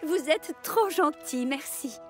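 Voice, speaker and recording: A woman voicing a modest, kind and capable fire engine in a French cartoon dub, with a gentle, reassuring manner. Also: high-pitched, expressive, slow pace, soft background music. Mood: bashful.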